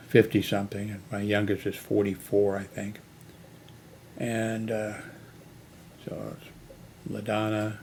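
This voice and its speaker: A man's voice: on the low side, creaky, darkish tone, a little hiss or hum. A man in his eighties talking in a rambling personal monologue, full of fillers and restarts. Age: 80s. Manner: meandering, many fillers and restarts. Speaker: channel host.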